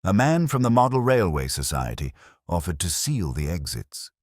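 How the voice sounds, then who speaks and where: A man's voice: low voice, sing-song, moderate pace, a little mellow, no echo, studio quality. A man reading scripted narration of a comic village mystery in a wry, literary storytelling manner.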